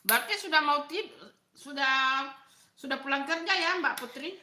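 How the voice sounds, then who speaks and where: A woman's voice: sits high, moderate pace, pushed and bright, echoey room, a little hiss or hum. A middle-aged woman with a Makassar Indonesian accent, chatting casually with live viewers while she eats, asking them questions and answering their comments. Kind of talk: casual live-stream chat while eating.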